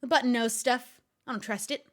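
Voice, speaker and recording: A woman's voice: fairly high pitch, a little fast. A woman streamer giving casual reaction commentary, laughing often as she talks. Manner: giggly, animated, talks to a pet.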